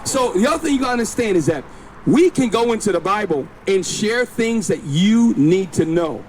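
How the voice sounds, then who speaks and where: A man's voice: high voice, lively intonation, normal speed, a crowd in the background, low-quality recording. A man preaching outdoors on a city street, insistently explaining scripture and telling a companion to read. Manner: insistent, instructs a reader to read. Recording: loud street traffic behind. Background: passing vehicles.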